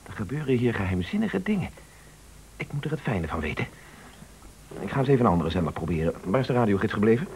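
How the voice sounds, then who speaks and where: An actor in a Dutch radio play playing a fussy, obstinate husband with an insistent, indignant, bossy way of talking. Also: male voice, on the low side, very animated, fast, pauses often, darkish tone, a little hiss or hum, rough recording. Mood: agitated, bossy.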